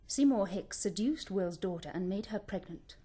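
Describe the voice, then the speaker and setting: A grieving Victorian actress. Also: female voice, medium pitch, expressive, moderate pace, warm tone, husky. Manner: intense, dropping to a whisper. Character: grieving Victorian actress, lover of a murdered star. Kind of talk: radio drama acting.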